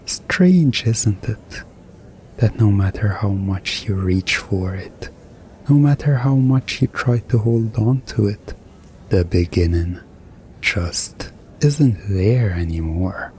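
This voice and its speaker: A man playing a mischievous, smugly commanding hypnotist in an ASMR roleplay, soothing and teasing with deliberate pauses. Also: on the low side, animated, darkish tone, a little hiss or hum. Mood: teasing, hypnotic.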